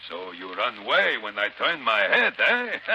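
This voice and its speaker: Menacing Spanish-accented pirate captain, piercingly bright voice. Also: male voice, fairly low pitch, huge pitch swings, unhurried, dull audio, full character voice. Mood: gloating menace. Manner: bullying, gloating, broken English. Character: gloating sadistic pirate captain, fat, menacing bandit boss. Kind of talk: 1930s radio drama acting. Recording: hissy 1930s radio recording.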